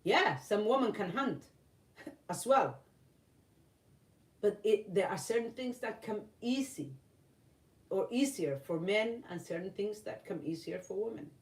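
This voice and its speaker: A woman from Somalia, giving earnest opinion commentary to camera, halting with many fillers and restarts. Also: medium pitch, animated, far from the mic. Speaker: vlogger. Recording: roomy, voice from off-mic.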